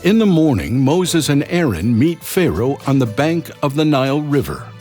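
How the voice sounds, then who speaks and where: A man narrating a scripted children's story in a dramatic, expressive way. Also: medium pitch, moderate pace. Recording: loud music behind the voice. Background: music.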